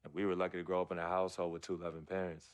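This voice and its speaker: A man's voice: deep voice, level tone, rapid-fire, clear, resonant tone, lightly acted. An actor playing a laid-back, sincere young American man, a loyal brother, talking in earnest slang in game dialogue. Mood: warm, sincere. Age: young adult. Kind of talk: naturalistic game cutscene dialogue.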